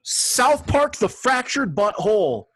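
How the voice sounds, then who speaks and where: A man's voice: sits high, sing-song, takes it slowly, fairly bright tone, slightly rough. A man co-hosting a video game podcast, chatting casually in a blunt, opinionated way. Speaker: podcast host.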